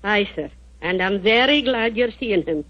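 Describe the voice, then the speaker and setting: A woman's voice: mid-range voice, animated, unhurried. An actress playing a motherly, respectful landlady with a Scottish accent in a 1940s radio drama. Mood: worried. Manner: motherly, deferential. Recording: dull old 1940s radio recording.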